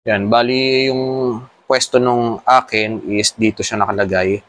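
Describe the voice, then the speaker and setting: Male Filipino motovlogger, slightly deep voice, casual explanatory delivery for a how-to guide. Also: fairly even intonation, unhurried, fairly bright tone. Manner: explanatory, mixing Tagalog with English terms. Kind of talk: casual hands-on tutorial.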